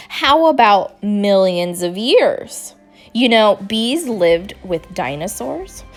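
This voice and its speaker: A woman reading a children's storybook aloud in an expressive, deliberate way, acting out the dialogue. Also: a little high. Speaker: storybook reader.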